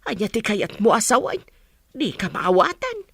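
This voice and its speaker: A woman's voice: medium pitch, sing-song, moderate pace, gravelly, breathy edge, no echo, full character voice. A woman acting as a nosy, meddling mother in an audio drama, prying insistently.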